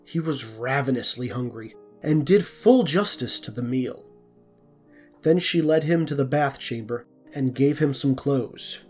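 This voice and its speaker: A man reads scripted storybook narration in a mid-pitched voice. Music plays faintly behind him as he tells the tale. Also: expressive, measured pace, a little mellow.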